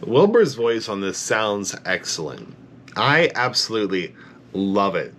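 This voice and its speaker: Low-voiced man gushing about a song. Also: sing-song, a little slow, fairly bright tone, faint music behind. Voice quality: low bass voice. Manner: enthusiastic, rambling, many "like" fillers. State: tired late at night. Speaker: music reaction YouTuber. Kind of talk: casual reaction commentary.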